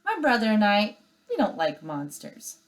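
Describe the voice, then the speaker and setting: A woman reading aloud as a bossy young sister bear from a children's storybook. She is sarcastic and snappish, mocking others in a lively, swinging voice. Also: medium pitch, normal speed, pitched above the performer's own voice.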